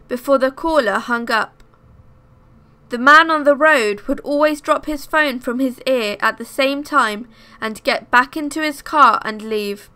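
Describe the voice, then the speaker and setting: A woman reading first-person scary stories aloud as scripted narration, in a measured storytelling manner. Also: a little high, sharp tone, clear, resonant tone.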